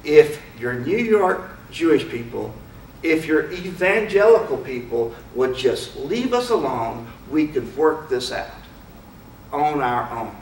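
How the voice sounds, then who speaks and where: A man's voice: a little high, big pitch swings, takes it slowly, a little hiss or hum. A man giving an informal lecture to an audience, speaking frankly and conversationally and asking many rhetorical questions. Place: lecture room. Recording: roomy hall, mic far off.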